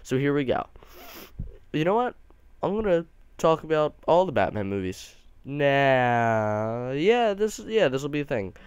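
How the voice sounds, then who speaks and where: A young man talks through a movie review off the cuff, enthusiastic and full of restarts. His voice is smooth and clear, with a slight nasal stuffiness from allergies, and sits in the middle of the male pitch range. The recording is close and dry. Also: sing-song, a little slow, no echo, muffled recording. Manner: rambling, enthusiastic, many restarts.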